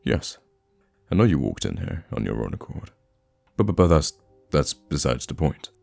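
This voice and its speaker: A man acts out a theatrical male villain in an ASMR roleplay, his voice rumbling extremely low with a gravelly creak. He is dramatic and teasing, yet flustered and fond toward his nemesis. Music plays faintly behind him. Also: sing-song, moderate pace, darkish tone, full character voice. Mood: flustered, exasperated. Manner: dramatic, flustered, teasing, stammering when thrown off.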